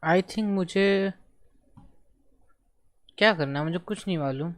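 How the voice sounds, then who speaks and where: Very fast-talking Indian game streamer. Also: mid-range voice. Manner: muttering to self, questioning, mixes Hindi and English. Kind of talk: casual live game commentary. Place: live game stream with game music behind.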